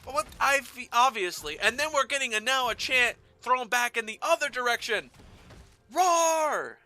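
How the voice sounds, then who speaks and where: Male esports caster, playful and dramatic, bright forceful tone, some background noise. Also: extremely high pitch, normal speed. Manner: excitable, playful, dramatic phrasing. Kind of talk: live game commentary with casual banter.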